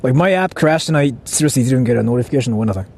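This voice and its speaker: A man's voice: mid-range voice, lively intonation, very fast, darkish tone. A man from Japan chatting casually on a livestream, rambling and thinking aloud in informal language with some swearing. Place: outdoors on a street, walking. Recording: clear outdoor street and traffic noise.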